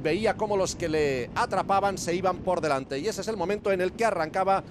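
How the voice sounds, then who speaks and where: A man with an accent from Spain commentates on race highlights in a high-pitched voice. Clear rumbling noise of vehicles and the outdoors runs behind him. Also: fairly quick. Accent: Spanish (Spain). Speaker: sports commentator.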